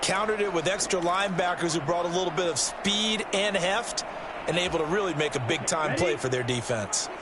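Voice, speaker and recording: A man's voice: sits high, brisk, background noise, natural voice. A man playing an American football color commentator in a video game, explaining plays in a conversational, emphatic way. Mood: analytical. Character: male football color analyst, opinionated and explanatory.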